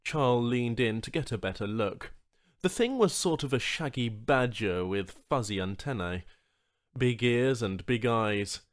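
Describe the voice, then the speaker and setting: A man's voice: medium pitch, animated, measured pace. A man narrating a scripted short story as an audiobook reader.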